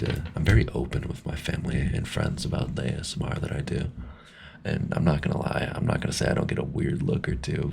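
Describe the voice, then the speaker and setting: A young man making an ASMR video, talking softly in a laid-back, slangy way and often whispering. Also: extremely low pitch. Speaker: ASMR creator. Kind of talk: casual ASMR ramble. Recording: clear background noise.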